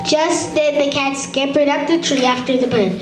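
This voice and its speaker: High-voiced woman storyteller in an echoey room. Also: normal speed. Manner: stumbles over words and rereads phrases. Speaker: storyteller, puppet show narrator. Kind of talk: scripted story read aloud for a children's shadow puppet show. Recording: echoey room, far from mic, noisy.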